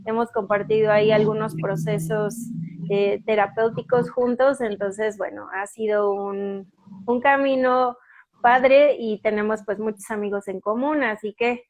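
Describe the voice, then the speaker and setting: A woman's voice: medium pitch, steady tone, unhurried, darkish tone, low-quality recording. A woman with a Mexican accent hosting a casual live stream, chatty and full of fillers and restarts as she waits for her guest. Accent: Mexican Spanish.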